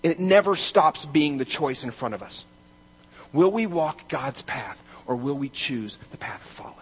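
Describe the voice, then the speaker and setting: A man's voice: a little high, lively intonation, normal speed, breathy edge. Man preaching with emphatic build-ups. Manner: emphatic, rising to loud declarations then dropping quiet. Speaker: pastor. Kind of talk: sermon.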